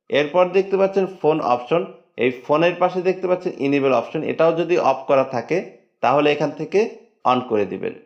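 A man narrating a step-by-step phone settings tutorial in a plain, steady instructional tone. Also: on the high side. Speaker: tech tutorial host. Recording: dull lo-fi voice-over.

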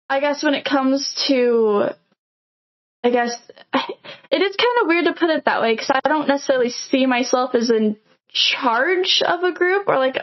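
A young adult woman from Nebraska, answering interview questions in a chatty way with lots of fillers and restarts. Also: sits high. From Nebraska, USA. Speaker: podcast guest. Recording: dull lo-fi audio.

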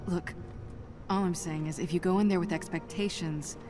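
Woman acting seasoned female agent, lower pitch, blunt, commanding, terse, video game cutscene. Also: fairly even intonation, moderate pace, noisy background, natural voice. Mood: firm, blunt. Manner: terse, no-nonsense.

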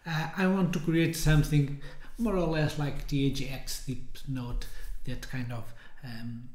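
A man in his thirties giving an informal, hesitant software demonstration, often rephrasing as he goes. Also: a little high, steady tone, measured pace. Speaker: software tutorial presenter. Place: indoors, small room with window blinds. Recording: roomy, echoey indoor sound.

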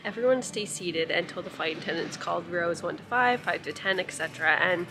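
Congested young woman, chatty vlog talk, low-ish voice, handheld camera, music underneath. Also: a little fast. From Canada. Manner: chatty, upbeat, addressing viewers directly. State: congested with a cold. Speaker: travel vlogger. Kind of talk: casual travel vlog. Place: on the go outdoors, airport and hostel room. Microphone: handheld camera at arm's length.

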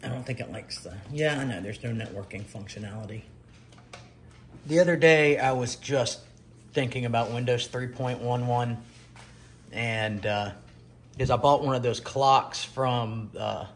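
A middle-aged man talks casually through a home show-and-tell in a medium-pitched voice. He speaks off the cuff and often pauses mid-sentence. There is some room noise behind him, as from a camera set a little way off indoors. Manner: halting, pausing mid-sentence. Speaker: retro computer vlogger.

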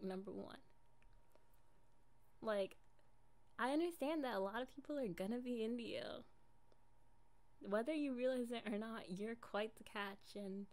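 A woman's voice: on the high side, a little mellow. A young woman playing an affectionate, jealous girlfriend in an improvised ASMR roleplay, casual and coaxing in an intimate way. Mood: tender, admiring.

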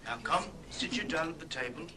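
An actor playing a gentle, devoted Victorian clerk and father, tender and earnest, in an old radio drama. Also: a little high, lively intonation, fairly quick, close to an everyday voice. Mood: festive. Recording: noisy old record.

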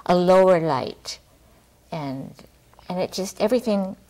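A middle-aged woman chatting casually as an interview guest, talking in a halting way and circling back on her thoughts. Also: fairly low pitch, a little mellow, rough recording. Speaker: theater director, talk show guest. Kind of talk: casual studio interview chat. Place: TV studio, seated at a table.

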